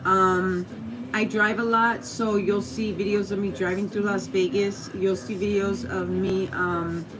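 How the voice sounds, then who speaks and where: A woman's voice: medium pitch, level tone, a little slow, music in the background. A woman with a Mexican American accent, chatting in a meandering way on a casual livestream, with lots of fillers and restarts and greetings to viewers. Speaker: YouTube live streamer. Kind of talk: casual rambling livestream chat. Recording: noisy livestream audio.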